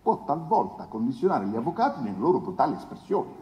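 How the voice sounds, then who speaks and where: An actor playing a pompous, insinuating defence lawyer who declaims to the court with rhetorical pauses and questions. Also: male voice, medium pitch, sing-song, dark tone, lightly acted. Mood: indignant, sardonic. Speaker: stage actor. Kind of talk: theatrical courtroom oratory. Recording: echoey hall with long reverb.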